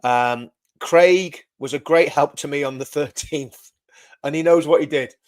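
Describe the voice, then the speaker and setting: A man hosting a casual livestream and talking straight to his viewers. He has a loud voice and rambles, repeating words and correcting himself as he goes. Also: a little high, a little slow. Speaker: livestream host.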